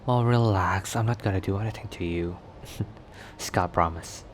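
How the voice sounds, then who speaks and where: Low, slow, cocky werewolf played by a man. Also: a little hiss or hum. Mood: flirty teasing. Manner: teasing, chuckling between phrases. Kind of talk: ASMR romantic roleplay.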